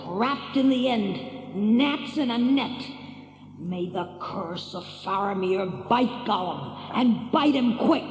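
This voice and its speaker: Fretful, devoted male hobbit sidekick for fantasy audio drama: high, excitable voice ringing in long cavern reverb. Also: slow pace. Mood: bitter. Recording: echoey cavern reverb, faint noise.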